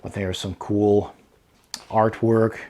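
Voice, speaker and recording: Man, fairly low pitch, casual product walkthrough, hesitant thinking-aloud delivery, video host. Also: level tone, takes it slowly, warm tone, slight background noise. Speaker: host of a board game unboxing channel. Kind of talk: casual unboxing commentary.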